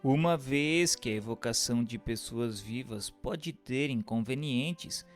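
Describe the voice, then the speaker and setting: A man's voice: mid-range voice, a little slow. Male narrator, formal scripted read, heavy reverb, light music bed. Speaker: narrator and questioner. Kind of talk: scripted narration, reading aloud. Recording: long hall reverb, music underneath.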